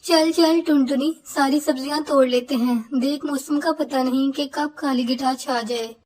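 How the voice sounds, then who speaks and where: A high, folksy old mother bird from a cartoon fable, played by a woman. She is the bossy mother-in-law of the nest. Her talk is motherly and naggy. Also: steady tone, normal speed, a little mellow, natural voice. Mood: urging. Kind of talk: Hindi cartoon voice acting.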